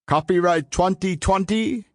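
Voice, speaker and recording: A man's voice: high voice, measured pace. A man delivering a scripted audiobook introduction as narrator, in a grandiose, solemn manner.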